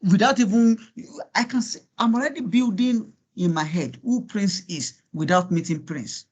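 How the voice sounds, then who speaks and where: A man with a high voice and a Nigerian accent, a native Yoruba speaker. He coaches in an informal, encouraging way, often repeating himself and putting rhetorical questions to his listeners. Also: moderate pace. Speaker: guest speaker coaching students on LinkedIn profiles. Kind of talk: informal online workshop coaching.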